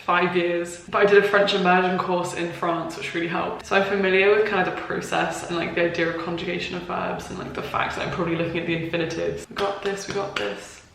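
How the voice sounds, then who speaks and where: A young woman vlogging, excitable and chatty, laughing often as she talks. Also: fairly low pitch, fast, vocal fry, hardly any pauses. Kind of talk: casual vlog chat. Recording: roomy echo with music behind.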